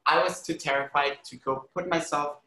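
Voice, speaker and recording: Bright-voiced, earnest man from Honduras, echoey room. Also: a little high, fairly even intonation, normal speed. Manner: earnest, emphatic, frequent "like" and "you know". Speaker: arts critic and educator, podcast guest. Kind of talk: conversational interview, personal storytelling.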